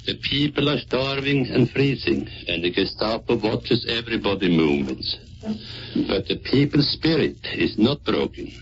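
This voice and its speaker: A man's voice: mid-range voice, lively intonation, moderate pace. A Norwegian man with a Norwegian accent, a serviceman delivering a scripted wartime radio address from the 1940s. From Norway. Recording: noisy muffled 1940s radio recording.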